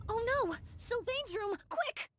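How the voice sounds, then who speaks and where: A young female video game character, speaking in a high and very expressive voice. She takes her words very slowly, with the scripted polish of game dialogue. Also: dry recording, full character voice. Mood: alarmed.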